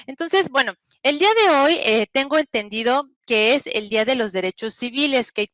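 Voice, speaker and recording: A woman's voice: a little high, fairly bright tone, no room sound, dull audio. A woman hosting a casual online talk show, talking in a friendly, upbeat way with many fillers. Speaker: show host.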